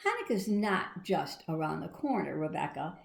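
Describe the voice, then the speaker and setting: A woman's voice: low-pitched, big pitch swings, moderate pace, gravelly, heavily acted. Matter-of-fact mother of a young girl voiced by a woman reader, storybook style, reverberant room. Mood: questioning. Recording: echoey room.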